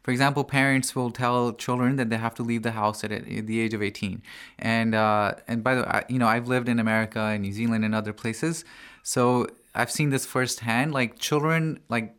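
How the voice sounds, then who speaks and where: A young man from Pakistan, a student guest on a radio talk show, speaking in a relaxed, conversational way full of "like" and "right?". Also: mid-range voice, normal speed, clean tone. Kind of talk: casual discussion.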